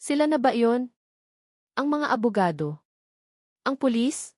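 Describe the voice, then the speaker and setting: A woman's voice: on the high side, very animated, unhurried, a little mellow, dry recording, studio quality, voice pushed up. A woman voicing a protective single mother in an audio drama, trying to hide her fear. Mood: frightened.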